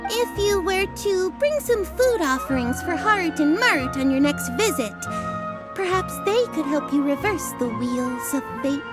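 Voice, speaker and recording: Pompous fortune teller. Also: female voice, high-pitched, lively intonation, a little slow, loud music behind the voice. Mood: flustered. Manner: theatrical, pompous. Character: grand self-serious woman fortune teller. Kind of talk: video game voice acting.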